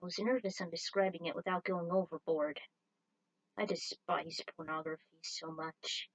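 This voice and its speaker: A woman's voice: lowish voice, fairly even intonation, normal speed, rough recording. A woman reading scripted fiction aloud as its narrator, in the manner of an amateur storyteller presenting their own writing. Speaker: narrator and writer of fan fiction.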